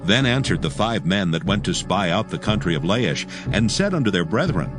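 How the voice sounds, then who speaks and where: A man narrating scripture from a script as a solemn, measured storyteller. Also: sits low, very animated, over music, poor audio.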